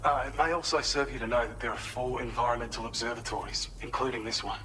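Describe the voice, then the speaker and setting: A man acts an earnest, helpful facility researcher in a video game. His voice is bright and slightly low, and he explains things in a polite, measured way over faint ambient noise. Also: steady tone, close to an everyday voice, rough recording. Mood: earnest, businesslike. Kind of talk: scripted game briefing.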